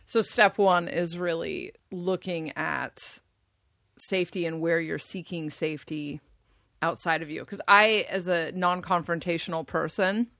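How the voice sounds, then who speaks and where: A woman with a deep voice in casual conversation, thinking aloud with pauses and restarts. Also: creaky, fairly bright tone, dry recording, clean studio sound. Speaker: podcast guest.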